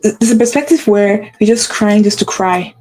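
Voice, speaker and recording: A woman's voice: mid-range voice, normal speed, warm tone. A woman hosting a motivational talk, speaking with a stutter and repeating phrases for emphasis. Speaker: host.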